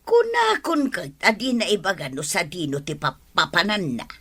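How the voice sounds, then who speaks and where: A woman's voice: on the low side, wildly swooping pitch, moderate pace, fairly bright tone, breathy edge, full character voice. A woman with an Ilocano accent playing the assured madam of a village charity in a radio comedy drama. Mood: dismissive.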